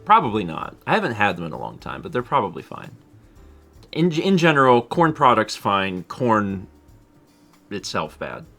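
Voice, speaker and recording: A man's voice: fairly low pitch, huge pitch swings, normal speed, vocal fry, sharp tone, faint music behind. A man in his thirties co-hosting a live stream, chatting casually and rambling with lots of laughter while reading viewer comments. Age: 30s. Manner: rambling, self-deprecating, laughs often. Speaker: livestream co-host.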